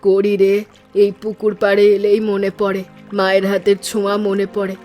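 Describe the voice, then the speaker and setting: Woman acting middle-aged village aunt, cartoon, mid pitch, affectionate, fondly scolding, music underneath. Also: monotone, moderate pace, mellow, close to an everyday voice. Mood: nostalgic, tender. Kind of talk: animated story dialogue.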